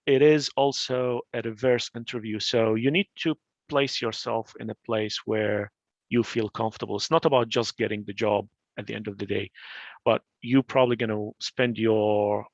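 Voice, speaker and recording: A man's voice: lowish voice, fairly even intonation, normal speed, dry recording, muffled recording. A man from Iraq presenting career advice in an informal talk, full of fillers and restarts, on a video call. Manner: advisory, with frequent fillers and restarts.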